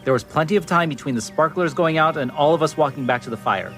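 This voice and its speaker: A man's voice: a little high, fast, fairly bright tone, soft background music, natural voice. An actor playing a tense, sceptical young small-town man, insistent, in an audio drama. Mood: suspicious.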